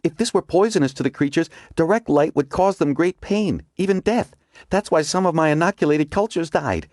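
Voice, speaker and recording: A man's voice: fairly high pitch, animated, fairly quick, a little mellow, no echo, clean studio sound, voice pushed up, natural voice. A man voicing a determined young woman scientist who talks breathless and insistent. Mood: explaining.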